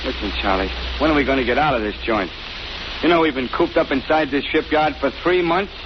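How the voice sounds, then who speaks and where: An actor plays an uneasy American ex-convict in a 1930s radio drama. His medium-pitched voice is slangy, hesitant and ungrammatical. The recording is muffled, with clear hiss. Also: a little fast, close to an everyday voice. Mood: uneasy, restless. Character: jittery, uneasy male ex-convict henchman.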